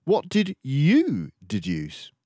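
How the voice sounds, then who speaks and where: A man's voice: on the high side, huge pitch swings, takes it slowly, mellow, no echo, voice pushed up, exaggerated voice. A man voicing an earnest, genteel mouse companion to a detective in a storybook, puzzled and admiring.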